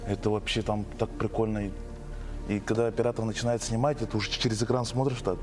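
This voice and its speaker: A man's voice: on the low side, little pitch movement, fast talker. A young male actor talking casually and enthusiastically in an interview. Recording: music behind the voice.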